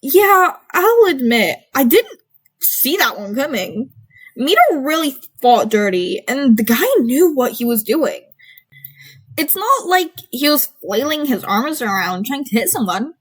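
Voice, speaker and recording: Woman doing a chill teen hero boy, slangy n impressed, storybook reading, highish voice. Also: sing-song, unhurried, slightly rough, full character voice. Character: laid-back, impressed teenage superhero-school boy.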